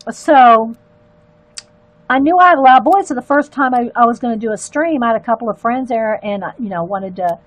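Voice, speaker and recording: An older woman, chatting in a loud, carrying voice and rambling into asides while she colors on a livestream. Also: a little high, normal speed, no echo, poor audio. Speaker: livestream artist. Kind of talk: casual chat while coloring.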